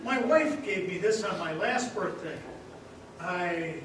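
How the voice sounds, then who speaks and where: A man's voice: high-pitched, animated, slow, background noise. A man playing an eager, innocent old apprentice angel in a live radio drama, earnest and chirpy. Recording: echoey theater stage from a distance.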